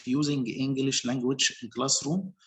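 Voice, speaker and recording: A man with as his walks through his teaching steps one at a time. He talks in a measured, explanatory way, pausing and restarting as he goes. His voice sits at a middle pitch. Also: fairly even intonation, fairly bright tone. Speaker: English teacher. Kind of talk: online panel discussion.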